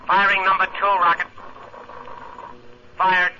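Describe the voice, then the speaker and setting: An actor playing a breezy, cocky young test pilot in a 1950s radio drama, mixing crisp procedure with jaunty slang. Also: male voice, sits high, completely flat delivery, takes it slowly, sharp tone, full character voice. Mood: focused. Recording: noisy, dull 1950 radio drama recording.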